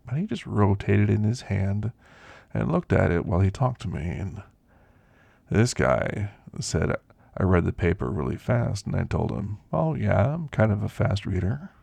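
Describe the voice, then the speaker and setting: Elderly male werewolf played by a man, ASMR roleplay: husky, heavy fry, world-weary folksy storyteller, close dry sound. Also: expressive, darkish tone, dry recording, full character voice. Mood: reminiscing, wry. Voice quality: gravelly, husky low voice. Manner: unhurried, folksy, reminiscing with dramatic pauses.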